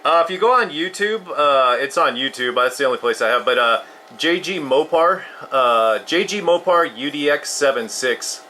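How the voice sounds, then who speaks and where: A man's voice: on the high side, lively intonation, moderate pace, pushed and bright, a little hiss or hum. A man in his fifties chatting casually as a CB radio operator. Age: 50s.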